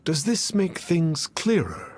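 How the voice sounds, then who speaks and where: A man's voice: on the high side, very animated, slow pace, background noise. A man playing a solemn masked mystic sage in a video game, speaking in a pompous, archaic, formal and theatrical way. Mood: lofty, assured. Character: solemn masked male adeptus sage, lofty, cryptic, theatrical. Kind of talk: video game character dialogue.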